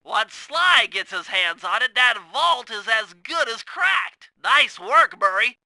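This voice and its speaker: A man's voice: extremely high pitch, sing-song, slow, sharp tone, full character voice. A man voicing a nerdy, anxious, fussy turtle gadget expert in a cartoon video game, explaining things precisely. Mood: eager, precise.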